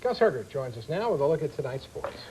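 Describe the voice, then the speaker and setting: A man's voice: medium pitch, very animated, fast talker, warm tone, a little hiss or hum, rough recording. A man reading scripted introductions as a television news anchor.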